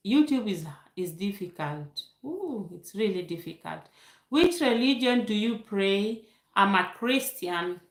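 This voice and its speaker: A woman's voice: medium pitch, a bit bright, reverberant. A young woman from Kenya with a Kenyan accent and Luo as her first language, hosting a casual live question-and-answer stream, reading viewer comments aloud and laughing often. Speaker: YouTube livestream host.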